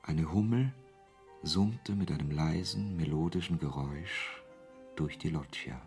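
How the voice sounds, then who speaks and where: An actor playing a wry author who narrates his story in a measured, literary way in a German radio play. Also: a bass voice, darkish tone, soft background music.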